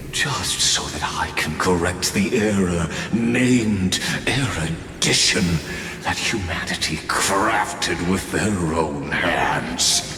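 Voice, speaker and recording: An actor playing a lofty, bitter scholar mastermind in a game cutscene, speaking in a slow, solemn, measured monologue. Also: male voice, low voice, exaggerated voice. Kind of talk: video game cutscene voice acting. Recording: echoey hall reverb with music behind.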